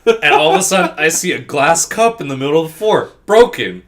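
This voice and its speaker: A man's voice: fairly high pitch, big pitch swings, a little fast, fairly bright tone. A man hosting a podcast, casually telling an anecdote with plenty of quoted dialogue and swearing. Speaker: podcast host.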